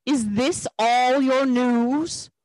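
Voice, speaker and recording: A woman's voice: medium pitch, animated, slow, no room sound. A woman voicing a cruel witch queen with a commanding, menacing manner for a storybook.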